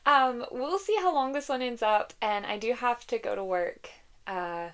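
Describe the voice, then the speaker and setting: A woman talking casually about books she loves, a little congested and croaky from a cold, chatty and giggly with frequent ums. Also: a little high, lively intonation, measured pace, fairly bright tone. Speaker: book vlogger. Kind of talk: casual book recommendations.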